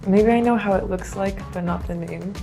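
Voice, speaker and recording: Mellow-voiced American woman. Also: medium pitch, normal speed, vocal fry, soft background music. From California, United States. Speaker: reaction video guest. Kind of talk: casual chat.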